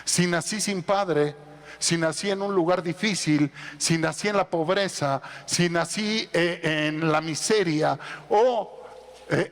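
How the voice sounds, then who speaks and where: A middle-aged male pastor preaching a sermon, building to loud emphatic peaks. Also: fairly high pitch, big-room sound. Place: church.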